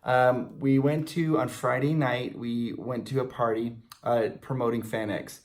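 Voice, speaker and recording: A man's voice: mid-range voice, steady tone, measured pace. A middle-aged man with an American accent, chatting casually and telling stories to fans as a video co-host, often rephrasing himself. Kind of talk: casual chat and storytelling to fans. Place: small indoor room in front of a logo banner.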